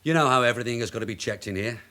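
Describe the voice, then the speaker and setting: Brisk, shrewd veteran police sergeant played by an actor. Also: male voice, medium pitch, sharp tone, close to an everyday voice. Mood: smoothly casual. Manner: brisk, persuasive, controlled. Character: shrewd veteran London detective sergeant, wily and insistent. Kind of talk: radio crime drama acting.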